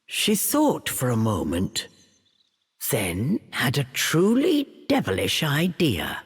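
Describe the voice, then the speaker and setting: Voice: mid-range voice, huge pitch swings, slow, husky. A narrator reading scripted storybook narration for a video game.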